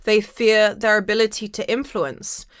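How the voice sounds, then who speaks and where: Female podcast host, mid pitch, slightly hoarse, emphatic motivational delivery, dry recording. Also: steady tone, unhurried, dry recording. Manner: emphatic, repeats key phrases. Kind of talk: motivational spiritual monologue to listeners.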